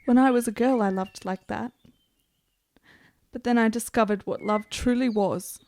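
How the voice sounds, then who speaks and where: High-voiced, bitter, melancholy gentlewoman played by an actress. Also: steady tone, normal speed, natural voice. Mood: wistful, melancholy. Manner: formal, ornate, dramatic delivery. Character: ailing, bitter, melancholy young Italian gentlewoman, intense and morbid. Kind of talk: period radio drama acting.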